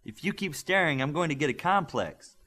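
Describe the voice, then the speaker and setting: A man's voice: fairly high pitch, lively intonation, fairly quick, pushed and bright, voice pushed up. A man voicing a young male superhero with great hidden power who talks in a dry, understated way. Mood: wry.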